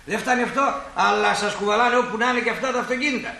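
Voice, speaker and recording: Bright, high-voiced fussy shopkeeper, echoey. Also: male voice, vocal fry, a little hiss or hum, heavily acted. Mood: chatty, grumbling. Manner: bustling, repeats himself, grumbling good-naturedly. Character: fussy, kindly, chatty older small-town shopkeeper. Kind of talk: stage drama acting for broadcast.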